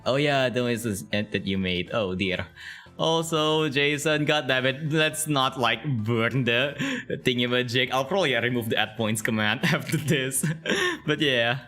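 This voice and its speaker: A man's voice: medium pitch, a little slow, sharp tone, faint music behind. A man hosting a casual interview stream, laughing often and filling his talk with "like" and "uh". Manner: giggly, filler-heavy, lots of "like". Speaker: interview show host. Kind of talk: casual chat over a video call.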